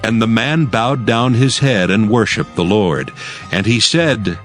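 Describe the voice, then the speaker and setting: A man's voice: on the low side, measured pace, faint music behind. A man narrating scripture for a dramatized audio Bible in a formal storytelling manner.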